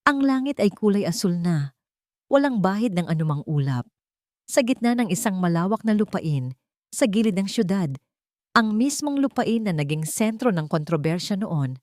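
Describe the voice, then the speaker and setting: A woman's voice: lowish voice, darkish tone, no echo, studio quality. A woman reading a scripted dramatic story as its narrator.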